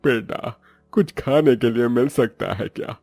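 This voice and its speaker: A man voicing a poor, kindly old plant seller in a cartoon moral story, with a gravelly, husky, elderly voice. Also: mid-range voice, measured pace, a little mellow, dry recording, faint music behind. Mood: pleading.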